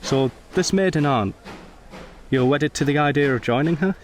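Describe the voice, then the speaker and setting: A man playing a brooding, principled young Edwardian gentleman soldier in a radio drama, speaking and narrating in a formal, intense, literary way. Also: fairly high pitch, moderate pace, a little hiss or hum, natural voice. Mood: gentle, courteous.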